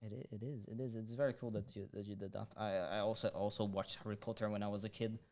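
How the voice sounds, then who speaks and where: A man's voice: lowish voice, little pitch movement, fast talker, darkish tone. A man hosting a podcast interview, hesitant and stammering, repeating words as he asks his questions. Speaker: podcast host. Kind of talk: casual interview chat.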